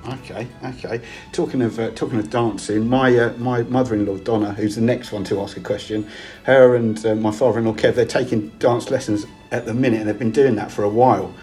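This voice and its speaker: A man interviewing a guest, reading out questions in a relaxed, somewhat hesitant way and often repeating his words. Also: lowish voice, brisk, faint music behind.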